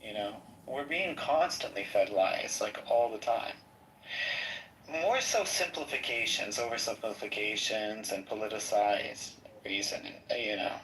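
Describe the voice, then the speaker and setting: Halting low-voiced man, distant mic. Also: fairly bright tone. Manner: halting, repeats words while thinking aloud. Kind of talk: casual political talk in an audio chat room. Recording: distant mic with faint noise.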